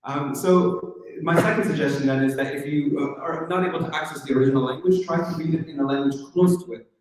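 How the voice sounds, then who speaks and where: A man's voice: fairly high pitch, fairly even intonation, fast, a little mellow, recorded from a distance. A man lecturing in answer to a question, laying out organized, numbered points. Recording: echoey hall, off-mic.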